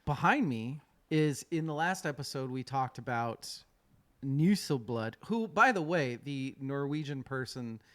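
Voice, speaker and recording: A man's voice: mid-range voice, expressive, a little slow, fairly bright tone. A man in his thirties hosting a music podcast, joking and swearing freely in casual chat. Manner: profane, joking. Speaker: podcast host.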